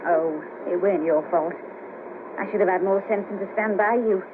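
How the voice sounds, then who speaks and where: A woman's voice: medium pitch, normal speed, noisy background, lightly acted. An actress playing a friendly, blunt, down-on-her-luck Merseyside sex worker in a 1970s radio play. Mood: disgusted, exasperated. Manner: bawdy, chatty, blunt. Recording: patchy narrow-band cassette of 1970s radio broadcast.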